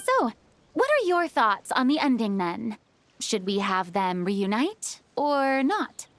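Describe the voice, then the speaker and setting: A woman's voice: fairly high pitch, very animated, slow, fairly bright tone, no room sound, full character voice. A woman playing a youthful-sounding, boastful and playful female shaman who calls herself granny, in an anime game. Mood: teasing. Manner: playful, self-assured, theatrical.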